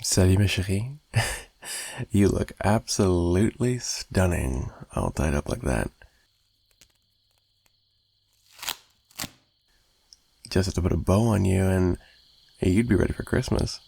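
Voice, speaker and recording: A man playing a smug, dominant mafia boss kidnapper with a gravelly low voice, teasing and menacing, in an ASMR roleplay style. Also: animated, normal speed, dark tone, no room sound, heavily acted. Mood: taunting, flirtatious.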